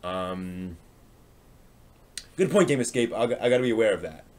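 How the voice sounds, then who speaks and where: A man hosting a casual livestream, rambling and thinking aloud as he chats with viewers in a halting way. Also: on the low side, expressive, a little mellow. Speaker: live stream host. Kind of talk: casual livestream chat, answering viewers' comments.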